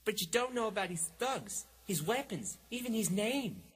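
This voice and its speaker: A man's voice: high voice, very animated, moderate pace, a bit bright, slightly rough, exaggerated voice. A man playing an anxious, hesitant client who stammers as he pleads for help in a radio drama. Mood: urgent.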